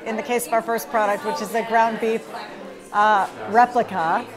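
A middle-aged woman representing a food company, explaining its product in a documentary interview. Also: a little high.

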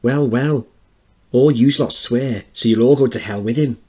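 A man's voice: medium pitch, unhurried, a little mellow, breathy edge, pitched above the performer's own voice. A man voicing a defiant, protective young working-class girl in a dramatic play reading.

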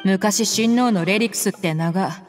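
A woman voicing a tough, proud young female mercenary in a game, speaking bluntly and roughly. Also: medium pitch, moderate pace, faint music behind, close to an everyday voice. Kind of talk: Japanese game voice acting.

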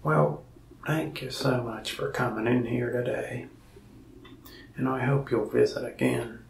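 A man playing a gravelly, soft-spoken mask shop salesman. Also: mid-range voice, fairly even intonation, roomy sound, recorded from a distance, slight background noise. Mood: soft, friendly. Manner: slow, soft-spoken, gravelly with frequent whispered stretches. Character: lonely, friendly male mask shop salesman. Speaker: ASMR creator. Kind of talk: soft-spoken ASMR roleplay. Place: small indoor room, mask wall behind.

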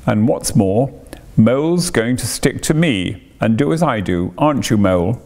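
A man's voice: medium pitch, lively intonation, measured pace, a little mellow. A man voicing a stubborn, cautious male water rat in a storybook reading. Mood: dogged. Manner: dogged, dry, stubborn.

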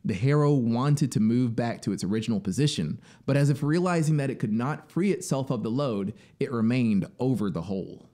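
A man in his thirties reads a literary story in a deliberate, dramatic way, drawing out key words into a close studio microphone. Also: mid-range voice, animated, dark tone. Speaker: narrator and musician. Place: small home studio, indoors. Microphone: close studio microphone on boom arm. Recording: music behind the voice. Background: synthesizer soundscape music.

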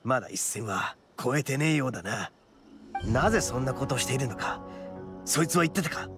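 A man playing a cocky young male oni gang leader in an anime video game, talking roughly and boastfully. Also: medium pitch, expressive, brisk, natural voice. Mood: gruff, serious. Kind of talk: Japanese anime game voice acting. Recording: clear background music and game sounds.

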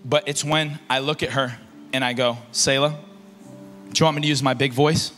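A young man preaching to a youth conference on a large stage into a handheld mic, alternating shouted emphasis with low, soft asides. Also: fairly even intonation, normal speed. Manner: paces and gestures across the stage. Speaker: youth pastor. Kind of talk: energetic sermon. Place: large stage in a concert hall. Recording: reverberant hall with faint music.

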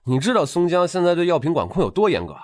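A man's voice: fairly high pitch, big pitch swings, quick pace. A man playing a tipsy, persuasive street hustler in an audiobook drama, earnest, coaxing and colloquial.

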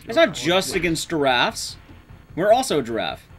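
A man's voice: on the high side, big pitch swings, normal speed, soft background music. A young man giving casual, wry gameplay commentary to his chat, informal and sweary. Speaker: streamer, speedrunner.

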